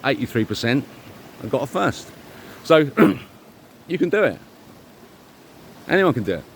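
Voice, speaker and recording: A middle-aged man giving a rambling, informal monologue, speaking emphatically and self-assuredly in halting, repeated phrases. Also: medium pitch, slight background noise. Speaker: vlogger.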